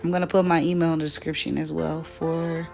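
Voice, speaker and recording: A young woman with a slightly raspy, dry voice, chatting casually through a makeup tutorial. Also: low voice, brisk, faint music behind. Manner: relaxed, chatty, self-correcting. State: voice dry from weather. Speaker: beauty vlogger.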